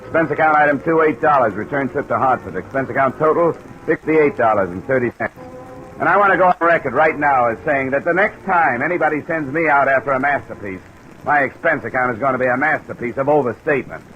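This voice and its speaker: An actor playing a world-weary, wry insurance investigator in an old radio detective drama, terse and hard-boiled. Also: male voice, medium pitch, fast talker, lightly acted. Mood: wry, matter-of-fact. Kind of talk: 1950s radio detective acting and narration. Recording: dull, hissy old radio broadcast.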